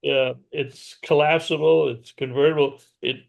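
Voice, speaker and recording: Gravelly-voiced American man chatting. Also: a little high, steady tone, a little slow. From New England, USA. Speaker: co-host of an RV news show. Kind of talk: casual news chat.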